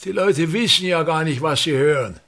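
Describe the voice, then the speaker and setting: A man's voice: fairly high pitch, normal speed, fairly bright tone, a little hiss or hum. An older actor in a radio play, playing a pompous, embittered old touring stage actor and tyrannical father who declaims and complains theatrically. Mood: imperious, weary.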